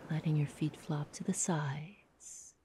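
A woman guides a meditation in a soft, breathy half-whisper, soothing and unhurried, leaving long pauses between short phrases. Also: low-pitched, steady tone, slight background noise.

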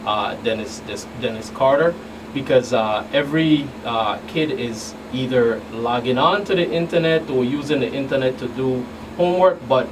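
Middle-aged male agent as radio guest, halting delivery with repeated words, distant mic, clear background hum. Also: medium pitch. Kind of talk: radio interview answers. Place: small radio studio.

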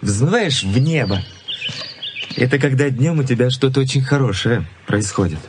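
An actor playing an easygoing, dreamy young fiancé in a Soviet radio play, in a laid-back manner. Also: male voice, medium pitch, animated, moderate pace, close to an everyday voice. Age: young adult. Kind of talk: Soviet radio drama acting. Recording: noisy old radio-play recording with birdsong. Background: birdsong, outdoor ambience.

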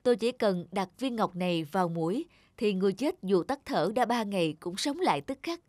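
A woman's voice: mid-range voice, expressive, brisk, darkish tone, no room sound, close to an everyday voice. A woman voicing a kindly, humble young male scholar in a cartoon folk tale, speaking politely and earnestly. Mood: confiding.

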